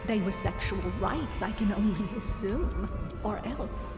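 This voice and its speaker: A woman's voice: medium pitch, measured pace, natural voice. An actress playing a flirtatious, gossiping aristocratic lady in a video game, with a coy, arch delivery. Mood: gossipy, arch. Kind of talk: video game dialogue acting. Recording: roomy echo with music behind.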